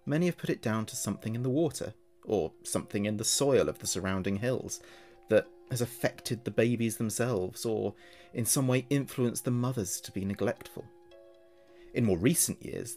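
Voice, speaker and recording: A man narrating a scripted horror story in a measured, deliberate storytelling manner. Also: mid-range voice, a little mellow.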